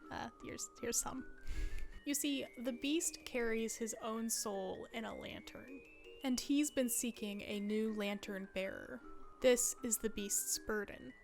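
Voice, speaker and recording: A woman's voice: fairly high pitch, unhurried, darkish tone, faint music behind. A woman in her twenties narrating a video essay in a casual, conversational way with wry asides.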